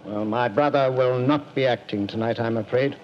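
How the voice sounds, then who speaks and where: An actor playing a stern older army general who stammers, in a 1940s radio drama. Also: male voice, medium pitch, natural voice. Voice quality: stammering, halting. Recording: dull lo-fi radio recording with faint hiss.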